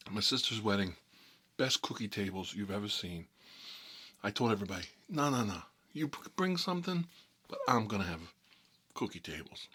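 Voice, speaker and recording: A man's voice: fairly low pitch, bright, forceful tone, husky, heavily acted. A man playing a boastful Italian-American mob boss in an improvised ASMR role-play, rambling and muttering between bites of food. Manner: rambling, halting, muttering, talking with food in his mouth. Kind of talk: improvised ASMR character role-play, eating while talking.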